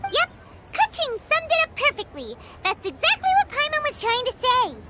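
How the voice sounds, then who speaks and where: A woman voicing a small, eager, bubbly childlike fairy companion in a video game, squeaky and chatty. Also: wildly swooping pitch, normal speed, background noise, exaggerated voice. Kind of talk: video game character acting. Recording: loud music behind the voice. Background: loud tinkling game music.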